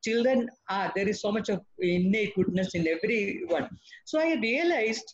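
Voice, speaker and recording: A woman's voice: mid-range voice, expressive, normal speed. An older woman, a former school principal speaking hesitantly with many fillers on a video call. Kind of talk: panel discussion remarks. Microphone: laptop webcam on video call. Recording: muffled video call audio.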